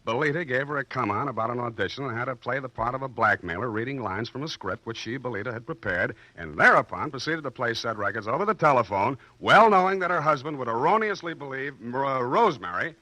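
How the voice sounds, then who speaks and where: A man's voice: medium pitch, animated, quick pace, hardly any pauses, sharp tone, dry recording, low-quality recording. An American actor playing a wisecracking, cocky male private detective in a wry, mock-pompous 1940s radio style.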